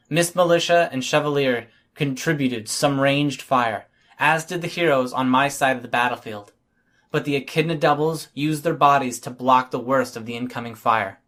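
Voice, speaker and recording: A man's voice: mid-range voice, fairly bright tone. A man reading scripted first-person fiction as an audiobook narrator.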